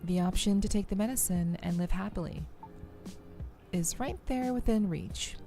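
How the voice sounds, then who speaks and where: A woman's voice: mid-range voice, normal speed, dark tone. A woman livestreaming a game as a VTuber, reading dialogue aloud and commenting on it. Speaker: streamer, VTuber. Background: faint background music.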